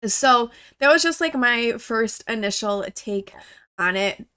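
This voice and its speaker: A woman's voice: on the high side, animated, slow pace, sharp tone, clear, resonant tone. A woman YouTuber, still congested from a cold, chatting casually as she reacts to a game trailer. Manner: chatty, with many "like" fillers.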